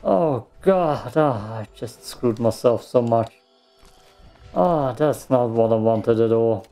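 A man's voice: mid-range voice, slow pace, dark tone, faint music behind. A man giving casual gameplay commentary, muttering to himself and given to swearing. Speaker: let's play streamer.

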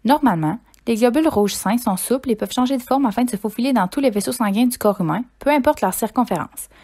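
A young woman student reads a voice-over from a script at a quick pace. Her voice sits a little high. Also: darkish tone. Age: young adult. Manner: read script. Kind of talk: voice-over narration.

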